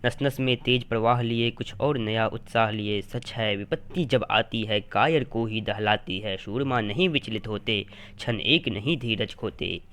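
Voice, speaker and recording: A man giving a dramatic poetry recitation in a declamatory, measured, rhythmic delivery of short phrases. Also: fairly low pitch, fairly bright tone. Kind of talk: dramatic recitation of Hindi epic verse.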